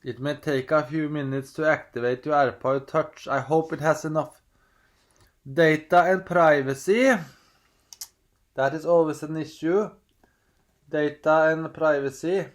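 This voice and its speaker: A man's voice: fairly high pitch, steady tone, a little slow, long pauses. A man from Norway with a Norwegian accent, chatting casually at home while unboxing, rambling and hesitant with many restarts, reading screen prompts aloud. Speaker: vlogger.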